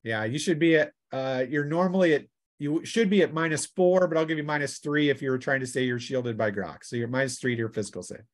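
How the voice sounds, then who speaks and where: A man's voice: fairly high pitch, quick pace, few pauses. Man running a tabletop game as game master. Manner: conversational, narrates action between rules talk and arithmetic. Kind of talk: unscripted tabletop game refereeing.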